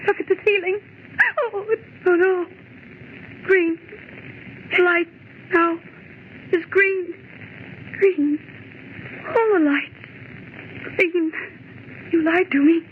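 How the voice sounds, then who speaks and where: A woman's voice: very high-pitched, fairly even intonation, drawn-out words, pauses often, heavily acted. An actress playing a fragile, terrified young woman office worker in 1940s radio horror style, melodramatic and hysterical. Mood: horrified, morbid. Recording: old radio recording, narrow tinny band, hiss.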